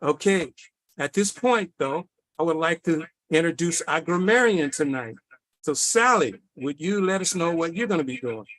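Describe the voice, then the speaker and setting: A man with a slightly high voice runs an online meeting as master of ceremonies. He gives semi-formal introductions, and the sound is dry and close. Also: lively intonation, normal speed, fairly bright tone, no echo, low-quality recording. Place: video call.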